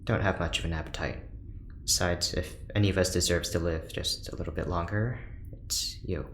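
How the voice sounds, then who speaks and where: A man's voice: sits low, normal speed. A man playing an earnest, guilt-ridden doctor in an audio drama, speaking in a serious, slightly formal and literal way. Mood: weary, somber. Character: stiff, earnest, guilt-ridden scientist doctor, socially awkward. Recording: roomy echo, background noise.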